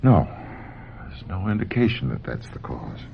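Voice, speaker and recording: An earnest, caring radio-drama doctor with a very low, gravelly voice. He is grave and intense, his delivery swinging widely in pitch on a muffled old recording. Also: sing-song, moderate pace, a little hiss or hum, full character voice. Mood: grave, concerned.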